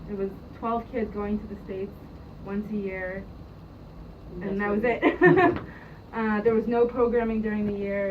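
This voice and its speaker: A young woman who grew up in Jerusalem, telling her story in a hesitant, casual way with many ums and restarts. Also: mid-range voice. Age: young adult. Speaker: interviewee, youth program staff member. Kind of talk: casual spoken recollection. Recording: distant mic, muffled, noisy.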